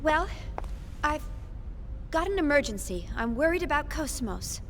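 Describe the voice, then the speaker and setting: A woman's voice: sits high, big pitch swings, unhurried, noisy background, exaggerated voice. An actress playing a stubborn young civilian woman engineer in a sci-fi video game, speaking earnestly and emphatically over music. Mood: defensive, worried. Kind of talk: English-dubbed sci-fi video game cutscene acting. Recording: loud music behind the voice.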